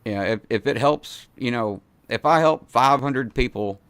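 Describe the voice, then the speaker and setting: A man in his forties hosts a video-call show from a small home studio, his voice hoarse from a cold. He sounds gravelly and smooth at once, sitting close to a dry mic. He talks in a relaxed, slangy, sincere way. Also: medium pitch, a little slow, dry recording. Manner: laid-back, slangy, earnest. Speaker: host. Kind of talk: casual video-call interview. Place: home studio with foam panels. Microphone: studio mic in frame.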